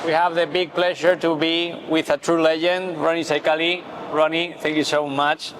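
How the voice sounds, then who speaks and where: A man hosting a casual interview, asking questions in halting, non-native phrasing. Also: on the high side. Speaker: interviewer, host. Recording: clear steady background noise.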